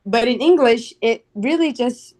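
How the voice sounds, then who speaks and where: Brazilian-accented young woman, relaxed. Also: a little high, measured pace. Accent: Brazilian Portuguese. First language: Portuguese. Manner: relaxed, uses "like" as filler. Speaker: co-host. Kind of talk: casual teaching conversation.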